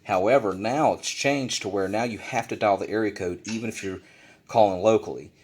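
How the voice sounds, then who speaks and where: A man with a fairly low voice walks through a practical demonstration in a casual way. He explains each step plainly and often restates it to be clear. He is recorded indoors at a desk. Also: fairly quick, darkish tone. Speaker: instructor, video presenter.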